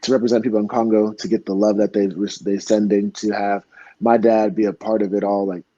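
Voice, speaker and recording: A man's voice: on the low side, level tone, brisk, mellow, highs cut off, a little hiss or hum. A young man from Florida telling earnest, heartfelt stories in a casual interview, full of fillers and restarts. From Florida, United States. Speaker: MMA fighter guest.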